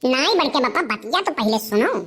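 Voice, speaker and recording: A woman's voice: sits high, very animated, brisk, fairly bright tone, husky, exaggerated voice. A woman voicing a cheeky, scheming village boy with an Awadhi accent in a cartoon comedy, wheedling and arguing. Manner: wheedling, argumentative.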